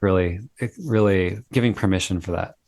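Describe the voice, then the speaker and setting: A man's voice: deep voice, fairly quick, a little mellow, no echo. A man, a singer-songwriter, chatting as a guest in an upbeat, enthusiastic way over a video call. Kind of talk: casual interview chat.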